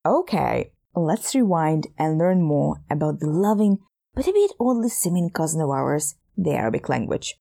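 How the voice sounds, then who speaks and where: Gravelly-voiced woman narrating playfully. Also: low voice, animated, normal speed, mellow. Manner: playful, chatty, addresses listener. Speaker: podcast host. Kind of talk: scripted narration.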